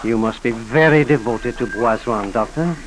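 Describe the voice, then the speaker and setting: An actor playing a courteous, skeptical American professor with a scholarly, questioning manner in a 1940s radio drama. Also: male voice, medium pitch, normal speed, lightly acted. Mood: curious, probing. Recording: noisy 1940s radio broadcast recording.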